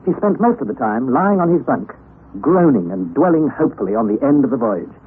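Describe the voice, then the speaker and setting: An actor playing a sensible young nephew in an old-time radio adventure, speaking in an articulate, earnest, theatrical way. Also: male voice, medium pitch, very animated, brisk, close to an everyday voice. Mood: measured storytelling. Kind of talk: old-time radio drama acting and narration. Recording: tinny, noisy old radio broadcast recording.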